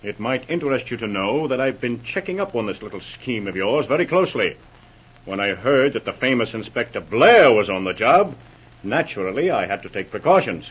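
An actor playing a smug, menacing smuggling boss, mock-polite and taunting, in a 1940s radio drama. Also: male voice, mid-range voice, very animated, fairly quick, breathy edge. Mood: smug menace. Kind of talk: 1940s radio villain acting. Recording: muffled old radio recording.

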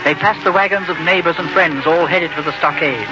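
An actor as a grave storyteller, narrating a frontier tale in 1940s radio drama style. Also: male voice, on the high side, brisk, close to an everyday voice. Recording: muffled old radio broadcast, loud music.

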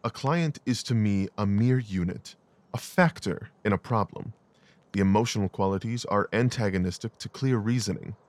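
A man playing a brilliant, coolly rational gentleman detective in an audio drama, speaking in a precise, clipped, analytical way. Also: on the low side, natural voice.

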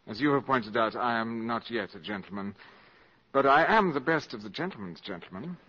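Cynical, polished wartime spy for old radio play; crisp formal wording, swooping intonation, dulled broadcast sound. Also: male voice, mid-range voice, animated, normal speed, pushed and bright. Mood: proud, wry. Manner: urbane, precise, sardonic, formal diction. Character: suave, cynical, mercenary valet turned wartime spy. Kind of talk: 1950s radio drama acting. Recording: dull 1950s radio broadcast recording.

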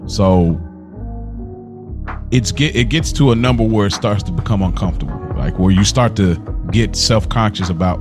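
Man, podcast co-host, casual chat, earnest, low voice, soft music behind. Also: normal speed. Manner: earnest, repeats himself.

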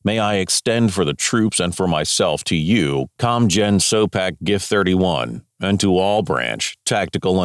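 A man's voice: deep voice, fairly bright tone, no room sound, clean studio sound. A man formally reading out a scripted military dispatch. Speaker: reader of wartime military dispatches.

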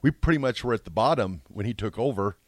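A man's voice: on the low side, animated, quick pace, dry recording. A man co-hosting a sports talk show, discussing things in a casual conversational style. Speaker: sports radio co-host.

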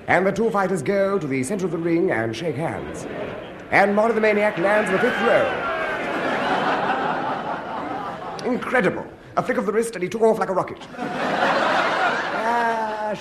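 An actor playing an earnest, excitable wrestling commentator in a 1950s radio comedy, with brisk formal announcing. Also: male voice, high voice. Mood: excited. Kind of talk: mock 1950s sports commentary. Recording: noisy old radio recording.

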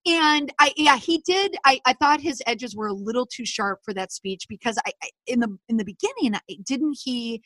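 A woman co-hosting a casual commentary chat, opinionated and animated, often laughing. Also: on the high side, normal speed, dry recording.

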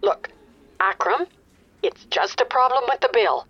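A woman's voice: on the high side, sing-song, unhurried, a bit bright, husky, lo-fi sound, full character voice. A woman playing an anxious, exasperated older mother on a customer call in a comedy audio drama, fretful and emphatic. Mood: confused, fretful. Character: anxious, exasperated older mother calling about her bill.

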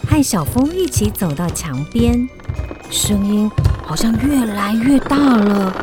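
Female narrator, animated intonation, suspenseful children's storytelling, theatrical, scripted, music behind. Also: medium pitch, measured pace.

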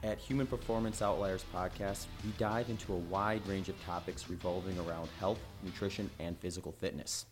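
A man reading a scripted podcast introduction in a measured, steady way. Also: low voice. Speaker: show announcer. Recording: music bed behind voice.

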